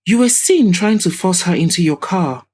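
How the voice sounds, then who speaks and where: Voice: medium pitch, expressive, normal speed, darkish tone, pitched above the performer's own voice, lightly acted. Audio drama lead: determined young woman, voiced by a female narrator, formal, pointed, insistent. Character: determined, probing young woman confronting a suspect.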